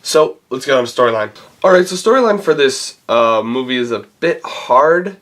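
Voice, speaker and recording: A man with a cold gives a casual, rambling film review, halting as he searches for words. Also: medium pitch, animated, fairly bright tone. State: has a cold. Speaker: movie reviewer and channel host.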